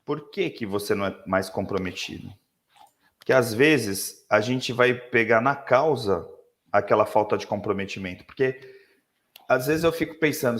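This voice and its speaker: Hoarse Brazilian man on a live webinar. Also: medium pitch. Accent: Brazilian Portuguese. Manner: reflective, lots of tag questions. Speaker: leadership speaker.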